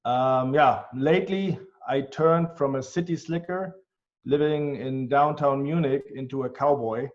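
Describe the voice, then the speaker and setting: A man with a German accent, answering interview questions in an earnest, measured way with frequent ums. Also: medium pitch. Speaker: guest, healthcare tech executive. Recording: dull, lo-fi sound.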